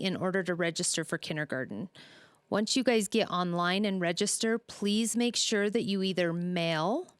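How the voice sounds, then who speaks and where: A woman's voice: medium pitch, clear, resonant tone, no room sound. A woman speaking as a school superintendent, giving an earnest, reassuring public update to families in a semi-scripted way.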